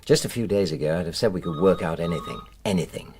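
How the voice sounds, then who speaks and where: A man's voice: low-pitched, fast talker, darkish tone, slight background noise. An actor playing a defensive, indignant middle-aged English husband and father in a naturalistic radio drama, speaking in an emphatic, clipped, self-justifying way. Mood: subdued.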